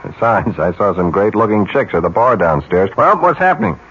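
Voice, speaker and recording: An actor playing a cynical, scheming, impatient ad executive in a radio drama, talking in a glib, insistent way. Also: male voice, lowish voice, big pitch swings, a little fast, a bit bright, breathy edge, full character voice. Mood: brash, smug. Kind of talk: 1970s radio drama acting. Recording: dull, noisy 1970s radio recording.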